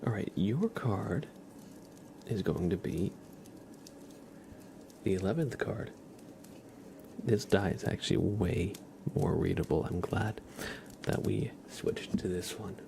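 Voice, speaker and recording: A man hosting an ASMR stream, speaking softly and often in a whisper, gently and casually as if chatting with viewers. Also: low voice, expressive, slow, creaky, pauses often, background noise. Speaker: ASMR livestream host. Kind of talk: ASMR, soft-spoken and whispered.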